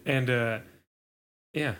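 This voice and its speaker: A young man co-hosting a casual podcast chat, relaxed and laid-back, with lots of fillers and chuckles. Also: mid-range voice, extremely slow. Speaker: podcast co-host.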